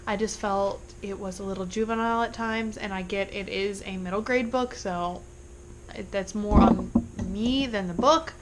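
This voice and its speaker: A woman's voice: medium pitch, fairly even intonation, normal speed, noisy background. A young woman with a congested voice, chatting casually through a monthly book wrap-up with rambling asides. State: congested from illness. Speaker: book vlogger.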